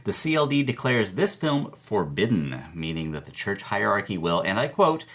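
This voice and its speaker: A very animated movie-history raconteur. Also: male voice, on the low side, moderate pace. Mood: amused, relishing. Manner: animated, relishing, quotes with flourish. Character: chatty male expert recounting old movie history with relish. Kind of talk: interview-style storytelling.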